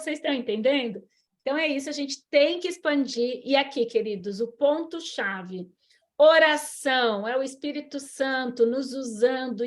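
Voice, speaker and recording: A woman with a Brazilian Portuguese accent hosting an online church health class, talking in an encouraging, preacherly way. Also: high voice. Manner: warm encouraging preacherly, addresses listeners as queridos. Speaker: host.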